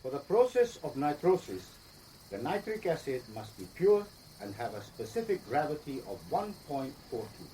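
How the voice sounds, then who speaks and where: An actor playing a proud, pedantic Palestinian chemist who lectures precisely and formally in a radio drama. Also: male voice, on the high side, very animated, normal speed, warm tone, a little hiss or hum, low-quality recording. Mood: didactic. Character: pedantic Palestinian chemist secretly teaching bomb-making, proud.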